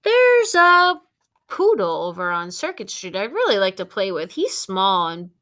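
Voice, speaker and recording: A woman's voice: mid-range voice, wildly swooping pitch, unhurried, pushed and bright, exaggerated voice. A woman voicing a blunt, talkative female family dog in a children's storybook. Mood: rambling, excited. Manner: outspoken, cheeky, talkative.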